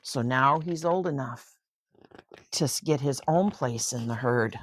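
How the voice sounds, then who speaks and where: An older woman reading a children's picture book aloud in an expressive, unhurried way, with chatty asides of wonder. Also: rumbling low, no room sound, poor audio.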